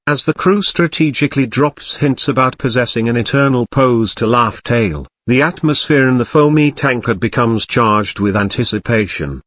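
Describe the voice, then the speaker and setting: A man's voice: mid-range voice, animated, moderate pace, few pauses, a bit bright, no echo. Male narrator, mid-range voice, scripted audio drama storytelling, very dry sound.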